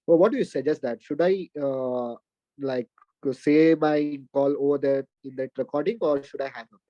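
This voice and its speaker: A man with an Indian accent asking questions on a group video call, halting with many fillers and restarts. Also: medium pitch, no room sound, highs cut off. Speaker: caller in a coaching group.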